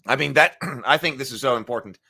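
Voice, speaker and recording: Bright-voiced man with a gravelly throat. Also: mid-range voice, a little fast. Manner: clears throat often, thinks aloud with fillers. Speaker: live stream host. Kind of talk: casual morning live stream chat.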